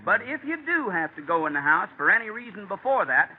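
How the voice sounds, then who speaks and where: An actor playing a blunt, emphatic pest exterminator with rough grammar in an old-time radio comedy. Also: male voice, high-pitched, big pitch swings, brisk. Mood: emphatic warning. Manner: blunt, ungrammatical tough talk. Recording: noisy, tinny old radio recording.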